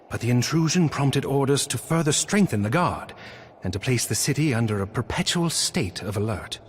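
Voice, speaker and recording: A man's voice: medium pitch, slight background noise, close to an everyday voice. An actor playing a gracious, loyal nobleman knight in a video game, speaking in courtly, formal phrasing. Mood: grave, formal. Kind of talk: scripted video game dialogue.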